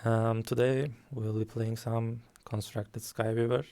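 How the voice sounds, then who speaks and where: Male streamer, calm and unhurried, dark gravelly tone, desk mic at home. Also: mid-range voice. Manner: slow, calm, soft-spoken with frequent ums. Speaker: guest streamer. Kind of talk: casual game stream commentary.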